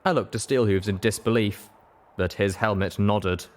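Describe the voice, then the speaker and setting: A man's voice: lowish voice, steady tone, normal speed. A man reading a first-person adventure story aloud as an audiobook narrator, in a scripted storytelling style.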